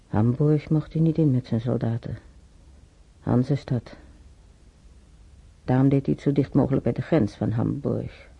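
An actor playing an elderly man who haltingly reminisces in a radio drama, searching his memory and muttering to himself. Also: mid-range voice, creaky, slightly rough, exaggerated voice. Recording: muffled old radio drama recording.

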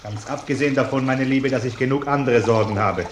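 An actor playing a pompous, self-righteous middle-aged householder in a German radio play, blustering and indignant. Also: male voice, mid-range voice, fairly quick, slight background noise, lightly acted. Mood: self-righteous grumbling.

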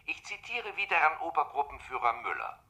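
A man's voice: fairly high pitch, very animated, brisk, pushed and bright, exaggerated voice. An actor playing a cutting male SS major from an economic office in a German radio drama, sardonic, pedantic and pressing. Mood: pedantic quoting.

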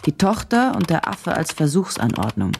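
An actress playing a journalist who narrates a case in a sober, detached, measured way for a radio drama. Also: female voice, sits low, big pitch swings, exaggerated voice. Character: woman journalist soberly reconstructing a dark case. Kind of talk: German radio drama, present-tense report narration. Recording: clear noise, typing clicks behind.

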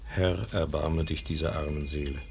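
A man's voice: very deep voice, fairly even intonation, normal speed, creaky, darkish tone. A man in a radio play acting a compassionate, indignant professor, earnest and solemn as a grieving former teacher. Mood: tender, solemn. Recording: noisy archive radio play recording.

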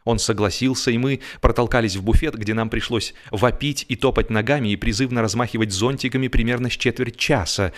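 A man narrating a humorous story expressively, with theatrical, drawn-out emphasis on words. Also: mid-range voice. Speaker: audiobook narrator.

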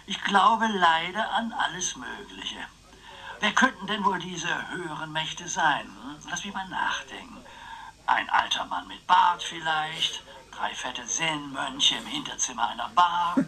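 An actor playing an eccentric old uncle in a radio play, rambling philosophically with a wry, teasing manner. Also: male voice, on the high side, very animated, measured pace, gravelly, sharp tone, full character voice, rough recording. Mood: teasing, musing. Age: older. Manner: musing, chatty, wry.

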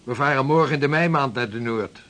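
A man's voice: mid-range voice, fast talker, a bit bright, natural voice. A man acting in a historical radio play as a devout, determined Arctic explorer, speaking formally and with authority. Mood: commanding, impatient. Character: driven, devout 16th-century male Dutch Arctic explorer.

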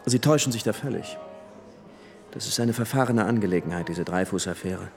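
An actor playing a flippant, worldly young French aristocrat and army officer in a radio play, chatting with easy familiarity. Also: male voice, fairly low pitch, a little fast, vocal fry, a little mellow, soft background music. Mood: brisk, dismissive. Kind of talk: German radio play dialogue. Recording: long hall reverb.

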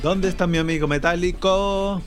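An actor with an accent from Spain playing a savvy, sardonic rebel guide in an audio drama, talking in a confident, colloquial way. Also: male voice, high voice, fairly even intonation, moderate pace, loud music behind the voice, close to an everyday voice. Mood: friendly, wry. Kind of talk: scripted audio drama acting.

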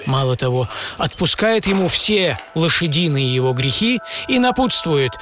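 Theatrical high-pitched male radio narrator. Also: normal speed. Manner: theatrical, expressive, shifting from hushed to excited. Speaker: author and narrator of an audio travel show. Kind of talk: dramatized scripted radio narration. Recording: music under the voice. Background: music.

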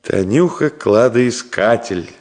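A man's voice: mid-range voice, expressive, slow, a little mellow. A man playing a middle-aged engineer father in a radio drama, tender and wry, speaking in short, wistful, joking phrases. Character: ailing engineer father, tender, wry, hiding his worry. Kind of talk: Soviet radio drama acting.

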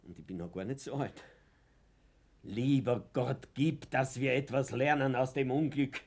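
An actor playing a humble, stubborn old postal worker in a radio play, colloquial and plaintive, with an Austrian accent. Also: male voice, medium pitch, measured pace, darkish tone. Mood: weary, prayerful. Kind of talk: German-language radio play acting.

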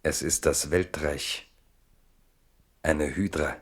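A man acting as the grave literary narrator of a historical German radio drama, with deliberate delivery. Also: a bass voice, steady tone, breathy edge, heavily acted.

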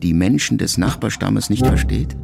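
A middle-aged man reading a literary memoir aloud in a measured, reflective first-person voice. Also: lowish voice, lively intonation, creaky, over music. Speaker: audiobook narrator. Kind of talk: scripted literary narration.

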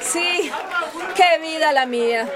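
A woman's voice: high voice, takes it slowly. An actress playing a weary, hurt migrant mother in a radio soap opera, lamenting in a plaintive way. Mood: weary, exasperated. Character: weary, hurt migrant mother feeling unappreciated by her son. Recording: loud music and crowd behind.

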